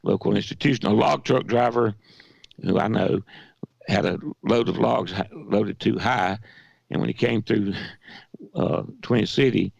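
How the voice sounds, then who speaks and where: A hoarse man with a Southern accent and a gravelly, weakened voice, chatting in a folksy, halting way as a radio co-host. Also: lowish voice, darkish tone, no room sound, muffled recording. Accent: Southern American. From Georgia. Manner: folksy, halting with many restarts. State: hoarse, weak voice. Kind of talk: casual radio chat.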